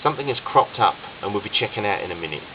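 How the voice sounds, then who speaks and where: Brief: forced-calm, brisk young man, male narrator. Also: on the low side, muffled recording, noisy background, natural voice. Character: man trying to hide his panic.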